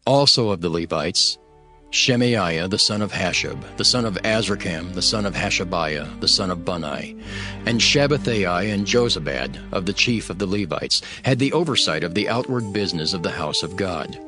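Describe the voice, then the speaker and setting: Deep-voiced man reading scripture over music. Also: animated. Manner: measured, formal delivery in short phrases. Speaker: scripture narrator. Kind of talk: solemn scripted Bible reading.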